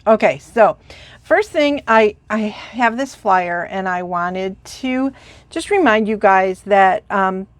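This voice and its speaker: A woman's voice: medium pitch, no echo. A congested, sniffling woman chatting through a crafting tutorial, rambling with lots of fillers and gushing about supplies. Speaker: craft demonstrator.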